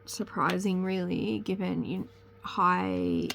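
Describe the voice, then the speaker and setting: A woman's voice: fairly low pitch, fairly even intonation, slow, gravelly, fairly bright tone, a little hiss or hum, rough recording. A young woman with a slight cold chats casually to camera as host of a crafting channel, rambling and correcting herself as she goes. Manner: rambling, chatty, self-correcting. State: sniffly with a cold.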